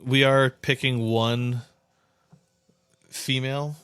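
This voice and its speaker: Clear-voiced man in casual podcast banter. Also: medium pitch, level tone, takes it slowly, fairly bright tone, dry recording, clean studio sound. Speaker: podcast co-host.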